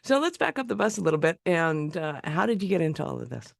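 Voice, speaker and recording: A woman hosting a podcast interview, hoarse from a sore throat, bubbly and quick to laugh. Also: sits low, big pitch swings, dry recording, studio quality. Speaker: podcast host.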